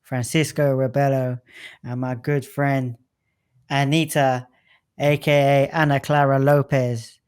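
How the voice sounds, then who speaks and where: A man's voice: medium pitch, little pitch movement, slow pace, darkish tone, smooth. A man hosting a casual podcast interview, chatty and enthusiastic with lots of fillers. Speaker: podcast host.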